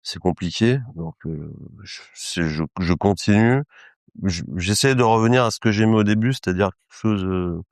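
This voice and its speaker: A man from Lyon with a low voice talks as a podcast guest. He wanders through his answers with hesitations, restarts and casual slang. The recording is dry and close. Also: normal speed, no echo. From Lyon, France. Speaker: illustrator, guest. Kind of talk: podcast interview.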